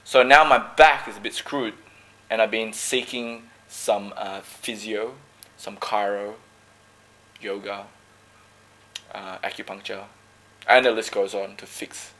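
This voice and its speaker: A man from Malaysia who talks about his team sport in relaxed, joking interview answers. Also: on the low side, takes it slowly, pauses often. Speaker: dragon boat paddler.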